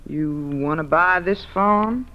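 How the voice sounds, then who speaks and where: An actor playing a suspicious, blunt older farmer in a 1940s radio crime drama. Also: male voice, a little high, takes it slowly. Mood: wary interest. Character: suspicious, blunt older farm dweller, parent of grown daughter. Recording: muffled vintage radio broadcast.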